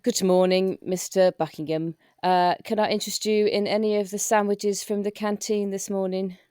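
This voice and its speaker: A woman's voice: mid-range voice, little pitch movement, moderate pace, a little mellow, smooth, no echo, clean studio sound, natural voice. A woman playing a polite, officious, flustered hospital attendant in a comedic audio roleplay, formal and courteous with a slight stammer. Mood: polite, dutiful.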